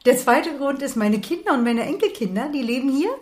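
A woman in her sixties from Berlin, a retired nurse and author, telling her life story in a calm, matter-of-fact way. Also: sits high, a little fast. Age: 60s. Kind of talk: personal life story in conversation. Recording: roomy echo.

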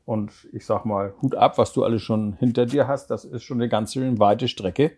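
A man's voice: mid-range voice, steady tone, normal speed, a little mellow, breathy edge. Man hosting a business podcast interview. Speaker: podcast host. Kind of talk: conversational interview.

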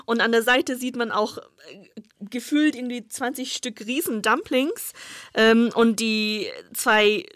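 A woman speaking as an expert guest in a casual chat, explaining at length with frequent filler pauses. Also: fairly high pitch, fairly bright tone, no echo, studio quality.

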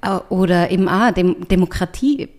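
An Austrian German woman talks as a podcast guest in a slightly low voice. She thinks aloud and reaches for her words as she answers. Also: moderate pace, darkish tone. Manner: thoughtful, searching for words, with restarts. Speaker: writer and artist, guest. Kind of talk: conversational interview answers.

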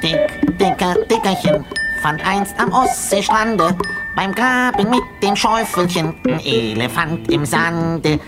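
A man voicing a cheeky childlike imp creature in a children's radio play, teasing in slow sing-song rhymes over music. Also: high voice, lively intonation. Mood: teasing, gleeful. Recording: loud music under the voice.

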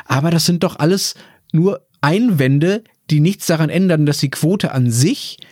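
A German man leads a casual discussion with a lively, teasing manner and stacks up repeated words for emphasis. His voice sits fairly high. The sound is close and dry. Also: a little slow, no room sound. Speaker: podcast host.

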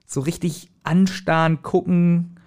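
A man's voice: a little high, little pitch movement, slow, a little mellow. A man, chatting casually as a podcast co-host in a colloquial, hesitant and reflective way. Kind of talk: casual chat.

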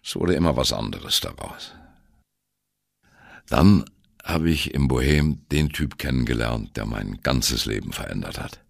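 Very deep, gravelly jazzman storyteller. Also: expressive, measured pace, exaggerated voice. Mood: wry reminiscing. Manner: wry, candid, self-deprecating. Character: male jazz musician wryly recalling his youth. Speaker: narrator of an audio drama. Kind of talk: first-person radio play monologue, storytelling.

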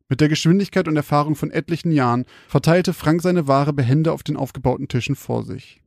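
A man with a slightly creaky voice, hosting and telling stories on a podcast. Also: mid-range voice, darkish tone, no echo, studio quality. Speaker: podcast host and storyteller. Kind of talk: casual banter and scripted horror storytelling.

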